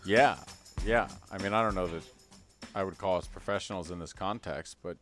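A man co-hosting a podcast in casual chat, wry and dry-humored, often trailing off quietly. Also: low voice, moderate pace, soft background music. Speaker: podcast co-host.

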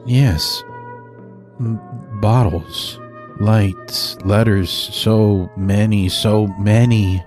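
Timid, low-voiced, suggestible shopper. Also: male voice, steady tone, drawn-out words, a little mellow, soft background music. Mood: dazed. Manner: hesitant, suggestible. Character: timid, indecisive wine shopper falling into a trance. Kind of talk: comic horror audio sketch ad.

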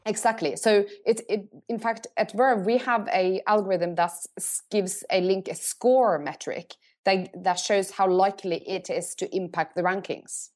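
A middle-aged woman speaking English, answering interview questions thoughtfully and often pausing to rephrase. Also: medium pitch, darkish tone. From Norway. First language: Norwegian. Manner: thoughtful, pauses to search for words and rephrases. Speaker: agency CEO, guest.

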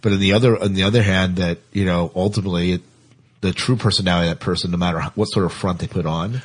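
Deep, husky male podcast host. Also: steady tone, fast. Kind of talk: casual discussion of TV science fiction.

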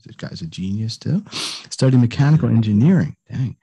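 An older man hosts a conversational podcast interview with a mellow, slightly husky voice at a middling pitch. He is effusive and likes to repeat words for emphasis. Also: animated, fairly quick, dull audio. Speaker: podcast host.

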